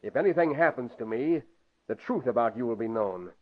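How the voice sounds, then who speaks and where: A man's voice: mid-range voice, expressive, brisk, natural voice. An actor playing an indignant, crooked businessman who blusters defiantly in 1940s radio style. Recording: dull lo-fi vintage radio broadcast.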